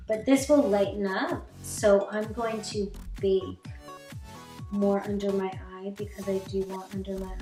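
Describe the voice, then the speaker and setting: Young female vlogger, chatty and rambling; roomy sound with soft music under it. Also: mid-range voice. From Canada. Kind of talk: casual chat while doing makeup. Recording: echoey room, distant mic, faint music.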